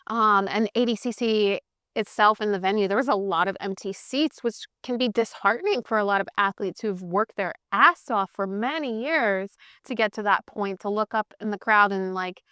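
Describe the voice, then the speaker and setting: A woman's voice: medium pitch, moderate pace, clean tone, dry recording, studio quality. A woman from Hawaii whose first language is Pidgin, co-hosting a casual podcast chat with strong opinions. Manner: chatty, opinionated, animated. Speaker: podcast co-host.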